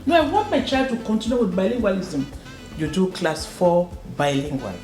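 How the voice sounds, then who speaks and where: A woman from northwest Cameroon speaks in a lowish voice, earnest and emphatic, and she repeats words to drive a point home. Her voice carries a roomy echo, with faint music underneath. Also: sing-song, normal speed, darkish tone. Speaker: school proprietor and educator. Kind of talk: interview answers.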